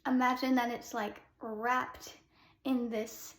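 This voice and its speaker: A young woman who sings soprano, teaching a casual singing lesson. She explains with short sung demos and fills pauses with "um.". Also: on the high side, level tone, reverberant. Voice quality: soprano speaking voice.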